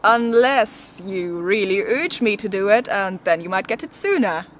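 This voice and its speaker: A woman's voice: mid-range voice, expressive, measured pace. A woman hosting an educational video, reading scripted narration with casual asides in a playful, lightly theatrical way. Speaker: video host presenting an educational explainer. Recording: noticeable background noise.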